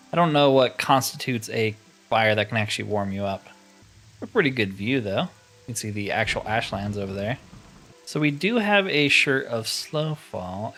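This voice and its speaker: A man's voice: fairly low pitch, moderate pace, a bit bright, soft background music. A man giving casual gameplay commentary on a fantasy roleplay game, musing aloud in a wry, conversational way.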